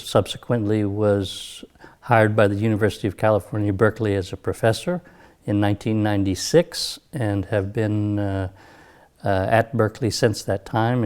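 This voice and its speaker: Low-voiced American man in his fifties. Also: a little mellow. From United States, Texas. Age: 50s. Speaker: composer and professor. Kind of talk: interview for a documentary.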